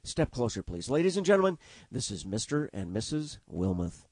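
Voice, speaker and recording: A man playing an excitable, courteous 1930s radio news reporter with formal announcer diction that gets flustered. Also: medium pitch, fairly quick, slightly rough, dry recording. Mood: excited, flustered. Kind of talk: 1930s radio drama acting.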